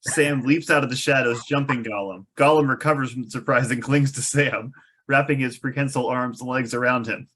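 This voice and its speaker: A man's voice: mid-range voice, steady tone, brisk, a bit bright. A man reading out stage directions as narrator at a fantasy script table read, with a wry manner.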